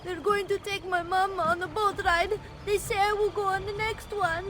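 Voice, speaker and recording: A woman's voice: extremely high pitch, little pitch movement, measured pace, pushed and bright, slight background noise, heavily acted. A woman playing a frightened, trusting small child in video game dialogue. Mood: frightened, sad.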